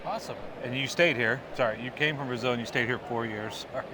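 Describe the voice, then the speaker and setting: Fast, chatty male host, mid-pitched, jokey interviewer on a noisy trade floor. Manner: quick, chatty, joking. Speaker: podcast host. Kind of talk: casual interview. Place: busy expo booth floor.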